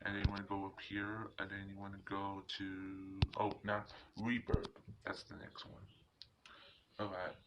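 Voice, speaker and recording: A man's voice: deep voice, monotone, normal speed. A man hosting a casual screen tutorial, talking informally and hesitantly through each step. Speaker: tutorial video host. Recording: muffled lo-fi mic with loud noise and clicks.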